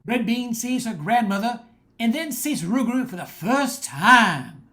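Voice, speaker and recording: A woman's voice: fairly high pitch, expressive, measured pace, fairly bright tone. A woman reading scripted narration for a radio drama, as the storyteller between the characters' lines.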